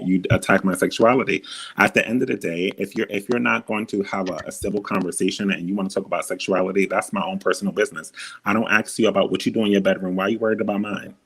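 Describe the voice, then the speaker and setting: A man's voice: on the low side, breathy edge, low-quality recording. A man with a feminine-sounding voice hosting a heated live debate, often cutting in and repeating himself to take the floor. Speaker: host.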